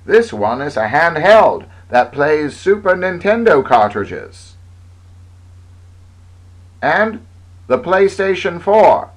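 A man's voice: fairly high pitch, big pitch swings, slow, pauses often. A man narrating a parody old-time newsreel in a mock-grandiose announcer delivery.

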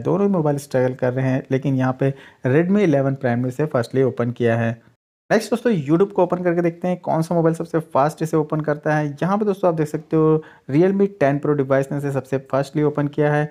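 A man's voice: a little high, warm tone. A man, the host of a tech channel, steadily narrating a side-by-side phone speed test and addressing viewers as friends.

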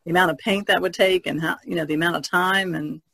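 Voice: mid-range voice, steady tone, quick pace, gravelly, sharp tone, no room sound. A podcast co-host chatting casually in a rambling way. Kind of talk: casual chat.

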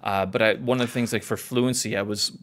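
A man's voice: on the low side, steady tone, a little fast, a bit bright. A man from Canada hosting a podcast over a video call, talking casually and rambling, often correcting himself and laughing at himself. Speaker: podcast host.

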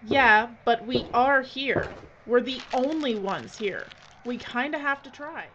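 A woman's voice: on the high side, a little slow, a bit bright. An actress playing a decisive, level-headed woman who takes charge in an audio drama, with a dry, bossy manner. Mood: firm, reasoning. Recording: dull lo-fi audio with clear noise.

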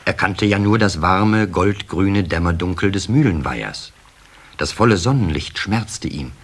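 Low, expressive male storyteller. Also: unhurried, breathy edge. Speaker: narrator. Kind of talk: scripted storytelling for a children's radio play. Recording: slightly hissy old cassette recording.

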